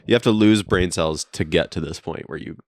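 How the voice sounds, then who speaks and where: A man's voice: low-pitched, brisk, darkish tone, no room sound, clean studio sound. A man co-hosting a podcast in casual chat, laid-back and ribbing, with lots of "like" fillers, recorded in a friend's basement. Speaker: podcast co-host.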